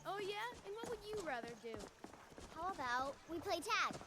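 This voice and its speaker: A woman voicing a playful, excitable village child in a fantasy game, heard as distant game audio. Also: very high-pitched, moderate pace, heavy background noise.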